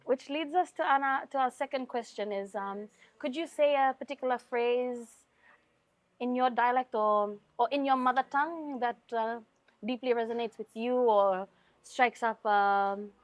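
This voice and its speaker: A woman from Fiji with a Fijian English accent, hosting a casual interview with a band and asking questions with soft fillers. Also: on the high side, no room sound. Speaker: podcast host.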